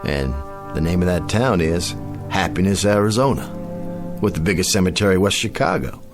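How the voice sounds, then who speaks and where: An American actor in a radio drama playing a smooth-talking, grandiloquent frontier showman who claims to raise the dead. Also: deep voice, lively intonation, normal speed, music in the background. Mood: sly, boastful. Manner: theatrical, grandiloquent, folksy salesman patter.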